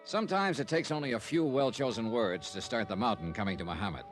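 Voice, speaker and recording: An actor playing a wry, hard-boiled insurance investigator in a 1950s radio drama, with punchy, clipped delivery. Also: male voice, mid-range voice, lively intonation, quick pace, lightly acted. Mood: wry narrating. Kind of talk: 1950s radio detective acting and narration. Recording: old radio recording with music.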